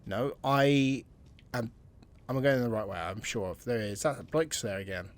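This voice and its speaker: A man's voice: mid-range voice, unhurried. A man giving casual let's-play commentary, musing and thinking aloud, sometimes dropping to a near-whisper. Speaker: gameplay commentator.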